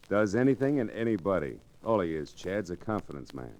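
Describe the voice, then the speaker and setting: An actor playing a brash, wary saloon gambler in an old-time radio western, with a slangy, tough, offhand way of talking. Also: male voice, low-pitched, very animated, normal speed, darkish tone, a little hiss or hum, poor audio. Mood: easy, amused.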